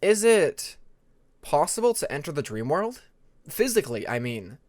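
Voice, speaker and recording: A man's voice: fairly high pitch, sing-song, normal speed, darkish tone, no room sound. A man voicing a polite, inquisitive young male dragon in a fantasy audiobook. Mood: curious.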